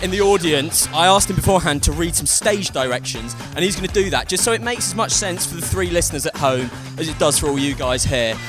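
A man's voice: a little high, lively intonation, fast, hardly any pauses, over music. A man doing stand-up comedy on stage, talking to a live audience.

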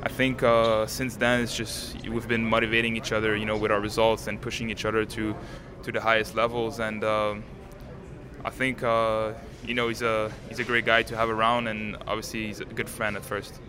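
Flat-voiced young Canadian man, crowd behind. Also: on the low side, moderate pace. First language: French. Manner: calm, composed, many filler uhs and you-knows. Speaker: tennis player, interviewee. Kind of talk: casual interview answers. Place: outdoors at a tennis stadium.

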